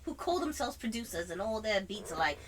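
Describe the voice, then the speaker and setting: A woman telling an animated anecdote in casual chat, theatrically acting out dialogue with a put-on British accent. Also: medium pitch, fairly quick, slight background noise. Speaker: storyteller.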